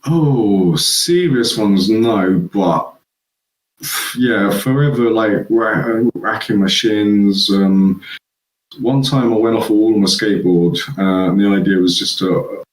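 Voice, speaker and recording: A man's voice: on the low side, takes it slowly, echoey room, rough recording. A man from England, a guest, chatting casually and rambling through his childhood stories. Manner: rambling, laughs at own stories. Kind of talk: casual chat.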